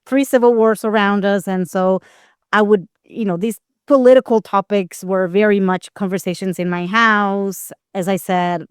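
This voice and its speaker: Clear-voiced middle-aged woman, candid interviewee. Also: medium pitch, steady tone, normal speed, dry recording, studio quality. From Costa Rica. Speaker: guest, anthropologist and expert witness. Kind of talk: conversational interview answers.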